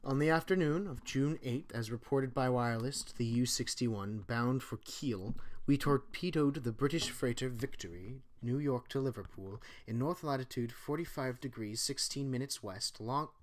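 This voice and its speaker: A man reading aloud as a stern, doomed German submarine commander in a put-on German accent, in a slow, formal delivery that stumbles over some words. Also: medium pitch, natural voice. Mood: grave. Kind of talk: amateur horror story reading.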